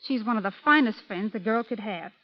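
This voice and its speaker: A woman's voice: mid-range voice, a little fast. A woman guest giving a scripted radio interview in a formal, carefully worded way. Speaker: film stand-in and guest. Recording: dull 1930s radio broadcast recording.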